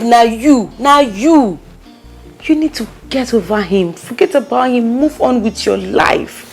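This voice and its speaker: A woman's voice: mid-range voice, darkish tone. Woman playing a pushy Nigerian best friend. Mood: scolding. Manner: emphatic, insistent, coaxing pep talk. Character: bossy, loyal best friend hyping her sister up. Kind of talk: skit acting.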